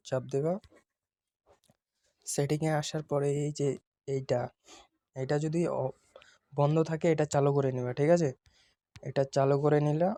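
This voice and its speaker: Even-toned man giving a tutorial. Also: on the high side, no room sound, studio quality. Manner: instructional, step by step, repeats phrases. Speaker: tutorial video host. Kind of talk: casual screen-recorded phone tutorial narration.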